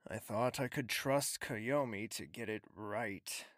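A man playing a wealthy, smitten, possessive young nobleman in an intimate audio drama, speaking in a husky, teasing, courtly way. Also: fairly low pitch, slow, a bit bright, no echo, clean studio sound. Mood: assured, devoted. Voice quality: husky, breathy, creaky.

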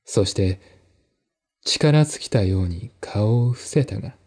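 Slow, mellow male mystery narrator. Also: fairly low pitch, expressive, husky. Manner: measured, deliberate pauses. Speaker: audiobook narrator. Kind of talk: dramatic scripted narration of a mystery novel. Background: faint music.

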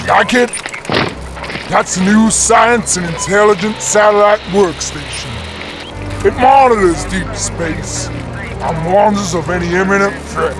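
A man as a slow, high-voiced, blunt father. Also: over music, poor audio. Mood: dismissive. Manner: blunt, dismissive. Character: gruff, dismissive father. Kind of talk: amateur radio play acting.

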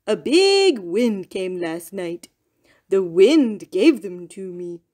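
A woman voicing a calm, polite male goat who speaks loudly and formally. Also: medium pitch, takes it slowly.

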